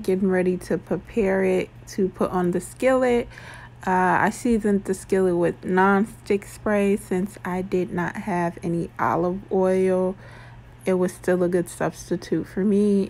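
A woman's voice: medium pitch, darkish tone. A young adult woman talking informally and chattily, as in a casual cooking vlog. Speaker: vlogger.

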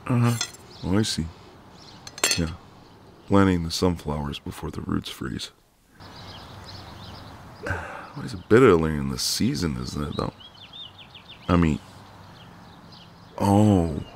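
A man playing a friendly, gallant, gently teasing ex-marine neighbour in an intimate, easygoing one-sided roleplay. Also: low-pitched, animated, slow pace, gravelly, long pauses, background noise, exaggerated voice. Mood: gently teasing, insistent. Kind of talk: intimate ASMR roleplay, one side of a conversation.

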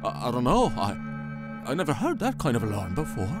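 A man's voice: medium pitch, wildly swooping pitch, brisk, over loud music. A man playing a kind-hearted, anxious seaman in an audio drama. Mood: worried.

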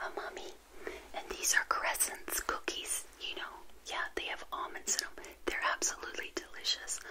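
Whispering middle-aged woman as friendly witch. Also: low-quality recording. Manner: gentle, unhurried, intimate personal attention. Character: friendly witch hosting a tea party. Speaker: ASMR host playing a friendly witch. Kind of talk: whispered ASMR roleplay. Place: small indoor set, seated. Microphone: close to the mic, facing the camera.

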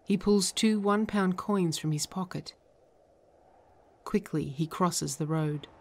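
A woman reading scripted narration and stage directions in a measured, deliberate manner. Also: on the low side.

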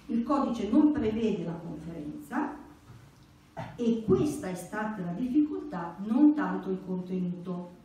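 A middle-aged woman speaks as a lecturer at a religious conference. Her voice is soft-edged and mellow, her delivery conversational yet emphatic. The room rings heavily around her and she sounds somewhat away from the microphone. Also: medium pitch, expressive, unhurried. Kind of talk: explanatory religious lecture, partly read from notes. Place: indoor church meeting room. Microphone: stand microphone. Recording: very echoey room, distant, faint hiss.

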